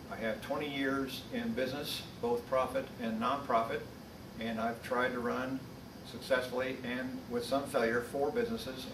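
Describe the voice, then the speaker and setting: A man from the US Midwest speaking as a college instructor, giving a prepared introduction in a measured, earnest way. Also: medium pitch. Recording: distant mic, roomy echo, noisy background.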